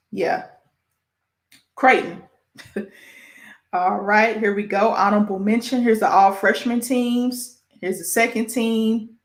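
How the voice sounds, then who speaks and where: Female sports host, Midwest American accent, mid-pitched, informal and self-deprecating, careful halting delivery on names, roomy echo. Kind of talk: casual sports show presenting, reading award lists.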